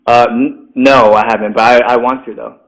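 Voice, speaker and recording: A man's voice: mid-range voice, level tone, normal speed, a bit bright. A man who is a rapper as a guest, chatting casually and filling his talk with "like," with little laughs. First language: Mandarin. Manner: chatty, says "like" constantly, self-deprecating laughs. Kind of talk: casual interview chat. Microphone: video call. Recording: phone-like video call audio.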